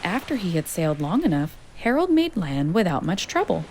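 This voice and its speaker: A woman reading a children's picture book aloud in a gentle, expressive storytelling manner. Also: lowish voice, brisk, slight background noise. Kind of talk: children's read-aloud narration.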